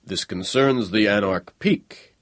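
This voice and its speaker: A man's voice: on the low side, takes it slowly, no echo. A man voicing a tall, middle-aged male robot in an audiobook, with a dry, hollow voice and smug, formal politeness. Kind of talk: audiobook character voice.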